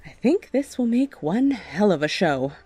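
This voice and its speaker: A woman's voice: medium pitch, big pitch swings, unhurried, mellow, breathy edge, dry recording. A woman playing a wry, brash young survivor in a horror audio drama. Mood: relieved, wry.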